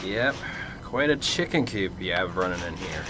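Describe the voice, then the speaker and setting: A man acting in a radio drama as a cynical, sarcastic, upper middle-aged police detective from Chicago, gruff and curt. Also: low-pitched, expressive, moderate pace, background noise. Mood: sardonic, unimpressed.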